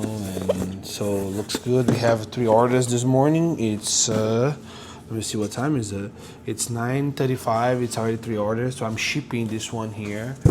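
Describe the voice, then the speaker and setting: A man talks into his phone in an easygoing, upbeat vlog, wandering through thoughts with plenty of "uh"s and restarts. His voice sits a little low, and faint ambient sound hums behind him. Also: noisy background. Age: 30s. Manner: rambling, upbeat, many fillers and restarts. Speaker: vlogger and online entrepreneur. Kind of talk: casual vlog talk to camera.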